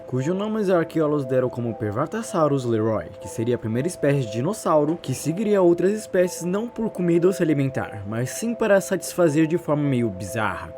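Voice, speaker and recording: A man with a Brazilian Portuguese accent tells a story in a casual, intrigued way. His voice sits fairly high and swings in a lively range. Clear music plays behind his narration. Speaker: YouTube narrator.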